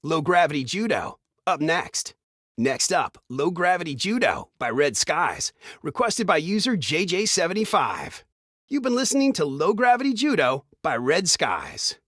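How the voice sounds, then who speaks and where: An actor playing an upbeat retro radio DJ in a video game, with a smooth announcer's delivery of scripted patter. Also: male voice, fairly high pitch, big pitch swings, unhurried, a bit bright, no echo, studio quality, exaggerated voice. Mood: upbeat, easygoing.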